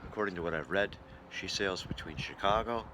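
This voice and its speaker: A man's voice: low-pitched, brisk, heavy background noise. A man who entertains as a touring singer and show host, chatting casually in a folksy way as in a travel vlog or between songs.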